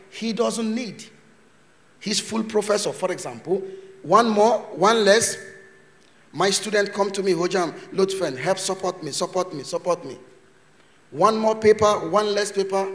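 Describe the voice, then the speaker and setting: High-voiced man lecturing in a reverberant hall. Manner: loose non-native English with Turkish words mixed in; chatty, joking, acts out little scenes. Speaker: invited lecturer. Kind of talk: conference talk with Q&A. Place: university conference hall.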